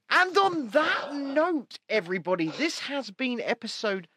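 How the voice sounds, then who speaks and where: A man's voice: extremely high pitch, pushed and bright. A man co-hosting a casual live-stream podcast, chatty and jokey, rambling through restarts. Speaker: podcast co-host.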